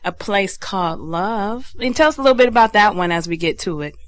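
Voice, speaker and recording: A woman's voice: mid-range voice, expressive, fairly quick. A woman hosting a radio show, chatting casually and encouraging her guest with upbeat back-and-forth. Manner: upbeat, encouraging, lots of backchannel. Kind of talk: casual interview chat.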